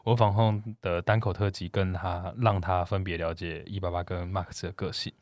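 A man's voice: sits low, flat delivery, moderate pace, no room sound, studio quality. A man co-hosting a podcast, chatting casually and reading out comments from listeners. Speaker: podcast co-host.

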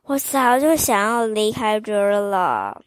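A woman voicing a kind old woman who warns a girl in a low, grave, hushed way. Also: lively intonation, measured pace, no echo, lightly acted. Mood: grave warning. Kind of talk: children's storytelling.

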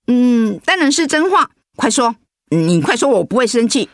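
A woman's voice: on the high side, very animated, dry recording, clean studio sound. An actress playing a sick, easily hurt wife and mother in a radio drama, with a Taiwanese accent and an insistent, whiny, emotive delivery. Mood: insistent, coaxing. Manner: whiny, emotive, with drawn-out sentence particles.

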